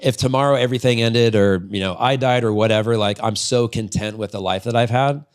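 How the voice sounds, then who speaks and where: A man's voice: mid-range voice, brisk. A man in his forties talking casually as an interview guest, candid and rambling, swearing freely. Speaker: podcast guest, retired freestyle motocross rider and business owner. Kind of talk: casual long-form storytelling in an interview.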